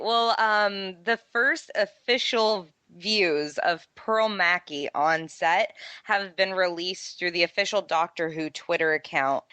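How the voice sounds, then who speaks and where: A woman's voice: on the low side, bright, forceful tone, clean tone, no room sound. A woman co-hosting a fan podcast and casually presenting news items. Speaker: podcast co-host reading news.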